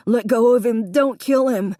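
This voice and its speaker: A woman voicing a distraught grown man who yells and wails at an intruder. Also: a little high, unhurried, no echo, studio quality, pitched above the performer's own voice, lightly acted. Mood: yelling. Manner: yelling, raised and strained.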